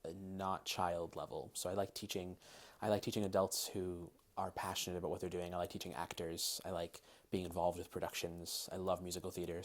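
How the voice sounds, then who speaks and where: Man, 30s, Massachusetts-raised, near general American accent with faint British touches; low voice, careful, measured delivery. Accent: Massachusetts, largely general American with slight British traces. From Massachusetts, USA. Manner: careful, crisp reading, then relaxed with hedges and fillers. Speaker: dialect coach, interviewee. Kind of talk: reading word lists, then casual interview answers.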